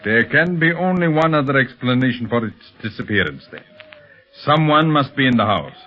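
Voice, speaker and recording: An actor playing a cold criminal mastermind in a 1930s radio serial, imperious, threatening and measured in his speech. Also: mid-range voice, expressive, close to an everyday voice. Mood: alarmed suspicion. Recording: dull vintage 1930s radio recording.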